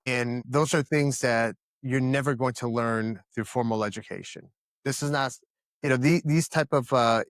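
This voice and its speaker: A man's voice: medium pitch, fairly bright tone, dry recording, clean studio sound. A man in his thirties from the Dominican Republic, answering interview questions in an earnest, emphatic way and often restarting his words. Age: 30s. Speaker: podcast guest, company founder.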